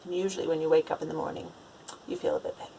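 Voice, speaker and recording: Expressive woman reading to children. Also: deep voice, rapid-fire, creaky, slight background noise, low-quality recording. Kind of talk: animated children's picture book read-aloud.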